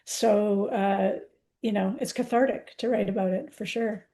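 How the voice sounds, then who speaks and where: A woman's voice: mid-range voice, steady tone, measured pace, mellow. A woman in her sixties, a poet answering interview questions in a candid, reflective, conversational way. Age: 60s.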